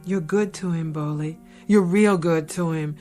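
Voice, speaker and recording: A woman playing a caring single mother, gentle and sincere, in a vintage television drama. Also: lowish voice, a little slow, lightly acted. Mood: tender, concerned. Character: caring single mother, soft-hearted and appreciative. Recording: archival TV soundtrack with music.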